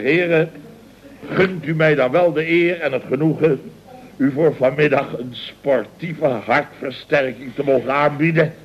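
Guy doing a self-important older boss, theatrical and blustery, pitch all over, muffled old radio sound. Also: close to an everyday voice. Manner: pompous, exclamatory, theatrical. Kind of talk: 1960s-70s Dutch radio comedy acting.

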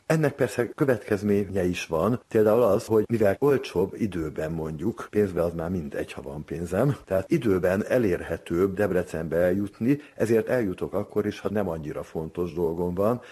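A man's voice: deep voice, warm tone, husky. A man giving a conversational expert explanation in an interview, making his points emphatically through everyday examples.

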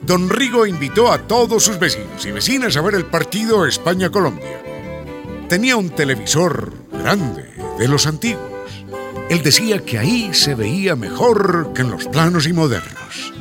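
A man narrating a scripted radio drama as a lively storyteller. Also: on the high side, normal speed. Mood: dramatic storytelling. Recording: music behind the voice. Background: music.

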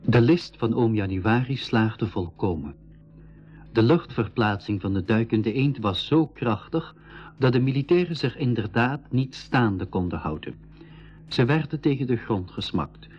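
An actor playing a composed storyteller, narrating an adventure in a scripted school radio drama. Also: male voice, medium pitch, animated, a little slow, a little mellow, lo-fi sound, soft background music, natural voice. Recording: band-limited 1980s radio recording.

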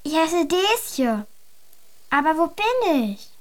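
A woman's voice: very high voice, sing-song, slow pace, full character voice. A woman playing a lost, puzzled little girl in a children's radio play, asking simple questions.

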